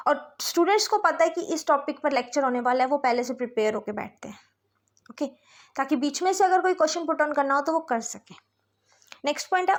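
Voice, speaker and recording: A woman with an Indian accent giving an explanatory lecture as a teacher, mixing Hindi and English and often checking that listeners follow. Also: high-pitched.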